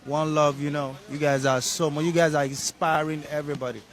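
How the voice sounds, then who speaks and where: A man from Nigeria answering street interview questions in an upbeat, relaxed way, often saying "you know". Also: on the high side, fairly quick.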